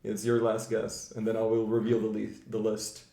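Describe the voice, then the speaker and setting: A man's voice: fairly low pitch, monotone, moderate pace, vocal fry, dark tone. A man hosting a podcast in casual, informal conversational speech, often peppering it with "like". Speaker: podcast host. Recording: roomy, echoing room sound.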